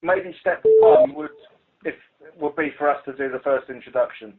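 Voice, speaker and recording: A man's voice: on the high side, wildly swooping pitch, vocal fry, noisy background. A British man, a police officer, speaking hesitantly and informally on a work conference call over the telephone. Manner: hesitant, halting. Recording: tinny phone conference line.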